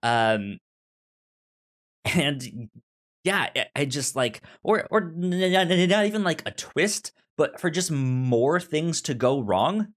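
A podcast host with a halting, stammering delivery, chatting casually about a film. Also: mid-range voice, animated. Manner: halting, stammering with repeated syllables. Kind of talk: casual movie discussion podcast.

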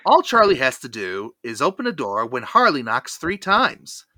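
A podcast host telling a cartoon episode recap in a lively, theatrical storytelling manner. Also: medium pitch, normal speed, no echo, clean studio sound.

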